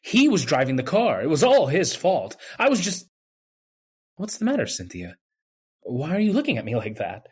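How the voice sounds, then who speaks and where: A man playing a bewildered, earnest man insisting on his innocence in an old-time radio drama, with a husky voice. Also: a little high, huge pitch swings, moderate pace, a little mellow, heavily acted. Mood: strained.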